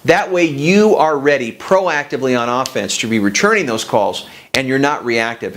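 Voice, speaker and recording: Male coaching host, mid-range voice, directive and instructional, speaking straight to the viewer. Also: mid-range voice. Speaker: host of a business coaching show.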